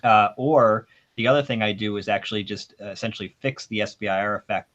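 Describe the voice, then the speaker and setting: A man's voice: fairly low pitch, fast talker, a bit bright. A man explaining technical matters informally as an audio expert on a panel, giving his opinions in a discussion. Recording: dull, band-limited sound.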